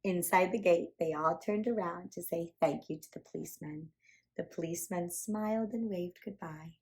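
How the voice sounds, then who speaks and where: A woman hosting a children's storytime, reading a picture book aloud with animated, playful emphasis. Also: fairly low pitch, normal speed, slight background noise, low-quality recording. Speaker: children's storytime reader and host.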